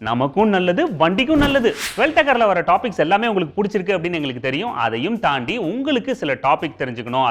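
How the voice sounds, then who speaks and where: A man's voice: fairly high pitch, a bit bright. A man with a Tamil Nadu accent hosting an informational TV show, explaining things conversationally and speaking directly to viewers. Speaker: TV show host. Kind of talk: informational presenting in colloquial Tamil with comic asides.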